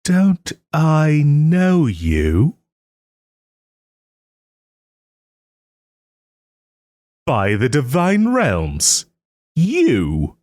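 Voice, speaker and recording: A man playing a proud, sarcastic nobleman in a scripted roleplay audio drama, with haughty, theatrical phrasing and pointed pauses. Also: fairly high pitch, wildly swooping pitch, a little mellow, no room sound, clean studio sound, exaggerated voice. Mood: startled. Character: wry, wounded young nobleman lord, sarcastic and proud.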